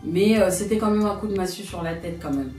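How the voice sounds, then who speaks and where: A woman in her thirties talks through a personal story, pausing and searching for her words. Her voice sits a little low and reaches the mic from some distance, in a roomy space. Soft music plays faintly underneath. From France. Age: 30s. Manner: reflective, hesitant, searching for words. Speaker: guest. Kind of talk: personal testimony in an interview.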